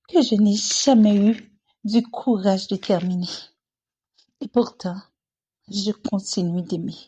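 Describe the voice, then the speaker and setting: A woman performing a dramatic spoken-word monologue in short, weighted, deliberate phrases, with a soft, breathy voice. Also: on the high side.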